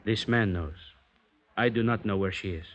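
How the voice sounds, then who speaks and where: An actor playing a proud, scornful guitar player, speaking in a measured, mocking way in a 1950s radio drama. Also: male voice, fairly low pitch, lightly acted. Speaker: blackmail go-between. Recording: dull lo-fi 1950s radio broadcast.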